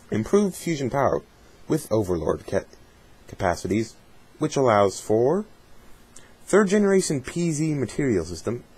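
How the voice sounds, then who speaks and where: A man with a slightly husky voice doing a dramatic reading, with casual asides in between. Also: medium pitch, darkish tone. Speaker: reader of a fan dramatic reading.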